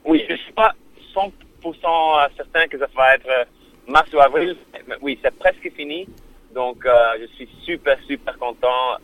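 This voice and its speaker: A man, a musician guest on a radio interview, speaking haltingly, with many fillers and restarts. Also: mid-range voice, fairly bright tone, low-quality recording. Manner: non-native French.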